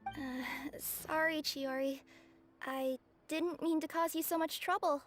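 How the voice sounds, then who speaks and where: An actress voicing a sweet, modest, anxious young courier in a video game, speaking politely and hesitantly. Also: female voice, sits high, fairly even intonation, takes it slowly, faint music behind. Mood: worried, apologetic.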